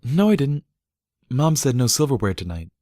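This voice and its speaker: A man's voice: mid-range voice, big pitch swings, moderate pace, no room sound, clean studio sound, pitched above the performer's own voice, close to an everyday voice. A man voicing an indignant, easily flustered teenage female pony in a storybook reading, with exasperated delivery. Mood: defensive. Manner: loud, exasperated outbursts.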